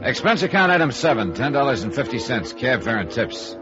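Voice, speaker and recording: An actor playing a hard-boiled, dry, probing American insurance investigator in 1950s radio noir, clipped and wry. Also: male voice, mid-range voice, very animated, fairly quick, natural voice. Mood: wry, matter-of-fact. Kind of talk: 1950s radio noir acting with first-person narration. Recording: dull vintage radio recording with music.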